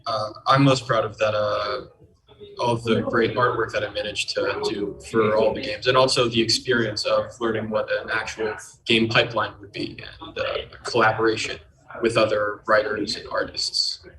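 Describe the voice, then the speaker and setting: A male game artist speaks off the cuff on a panel in a lowish, monotone voice. He is picked up from a distance, with a crowd clearly audible behind him. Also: moderate pace. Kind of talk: unscripted interview answer.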